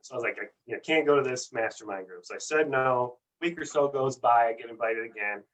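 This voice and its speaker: A man's voice: mid-range voice, fast, distant mic, poor audio. A man telling his own story as a guest in casual chat, earnest and rambling, stumbling over repeated words.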